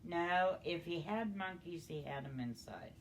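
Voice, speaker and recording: A woman's voice: fairly low pitch, lively intonation, unhurried, poor audio. An older woman shares a tale in a casual, folksy way. Her speech is plain and unpolished, with some noise around it on a homemade-sounding recording. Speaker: storytelling guest. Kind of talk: casual storytelling.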